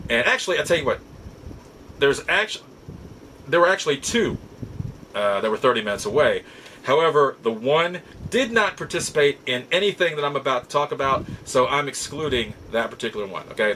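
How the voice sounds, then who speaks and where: A middle-aged man telling stories casually as a host, halting and often backtracking to repeat his phrases. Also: mid-range voice, bright, forceful tone, a little hiss or hum, poor audio. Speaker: podcast host and collector. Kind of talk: casual rambling storytelling.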